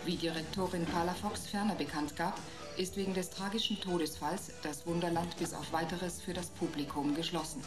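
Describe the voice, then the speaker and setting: An actress playing a formal, matter-of-fact newsreader reading a scripted bulletin in a science fiction radio play. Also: female voice, lowish voice, fairly even intonation, fairly quick, hardly any pauses, over music, close to an everyday voice. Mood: neutral, official. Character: formal female newsreader on futuristic broadcast.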